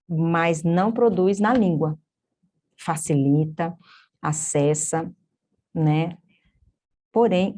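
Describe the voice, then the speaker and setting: A woman's voice: fairly low pitch, mellow. A woman with a Brazilian Portuguese accent, an instructor teaching an online course, explaining step by step, often checking in with tag questions and correcting herself mid-sentence.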